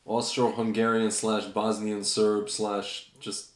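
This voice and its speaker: A man's voice: fairly low pitch, completely flat delivery, takes it slowly. A man co-hosting a podcast in casual chat, joking and often murmuring agreement. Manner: joking, lots of back-channel agreement. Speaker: podcast co-host. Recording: roomy echo.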